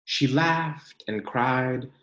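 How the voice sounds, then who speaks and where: Guy teacher reading a storybook to kids, really slow and deliberate, echoey room. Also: medium pitch. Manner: expressive, deliberate, pausing for emphasis. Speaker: teacher reading a picture book aloud to children. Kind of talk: read-aloud storytelling with guiding questions.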